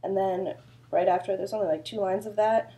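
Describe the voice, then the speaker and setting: A woman's voice: medium pitch, steady tone, a little fast, warm tone. A woman choreographer with a sore throat, explaining a dance routine in a chatty, loose way full of fillers. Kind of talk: casual dance instruction video.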